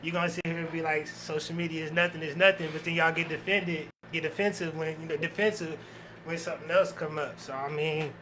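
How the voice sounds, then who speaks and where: Male podcast panelist, high and forward-sounding, slangy opinionated chat, slight room noise. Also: steady tone, brisk. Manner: slangy, filler catchphrases like you know what I'm saying. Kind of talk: casual opinionated group chat.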